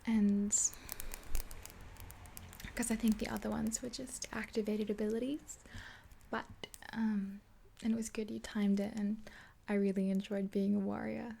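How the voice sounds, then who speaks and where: Young woman in a hushed, breathy whisper. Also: on the high side. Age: 20s. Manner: gentle, hushed, unhurried. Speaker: ASMR roleplay performer as makeup artist. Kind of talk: soft-whispered ASMR roleplay, casual rambling chat. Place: small indoor room, dark backdrop.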